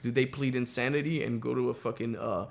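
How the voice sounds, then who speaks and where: A man's voice: mid-range voice, fairly even intonation, a little fast, vocal fry. A man co-hosting a casual, opinionated talk show, rambling profanely and posing rhetorical questions. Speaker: podcast co-host.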